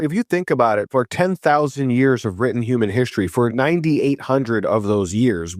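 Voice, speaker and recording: A man from Hawaii, a podcast guest, telling his story in a chatty, casual way and voicing himself with lively quotes. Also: medium pitch, dry recording, clean studio sound. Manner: chatty, animated voice when quoting himself.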